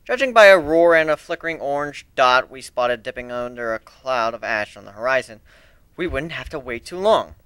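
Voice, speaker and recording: A man's voice: mid-range voice, expressive, moderate pace, bright, forceful tone, clear, resonant tone, no room sound. A man reading a story aloud as dramatic first-person narration. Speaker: amateur story reader.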